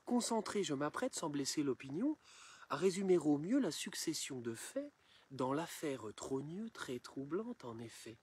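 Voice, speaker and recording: A man reciting satirical rhymed verse in a theatrical, measured, declamatory storytelling manner. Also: fairly high pitch, sing-song, a little mellow, husky, no echo. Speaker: poem reciter.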